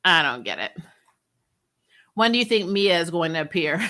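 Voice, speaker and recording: A woman hosting a casual TV review chat, talking in an animated, sarcastic, exasperated way with blunt opinions and casual swearing. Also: lowish voice, moderate pace. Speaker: host of a TV show review channel. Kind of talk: casual spoiler recap chat, reading and answering viewer comments.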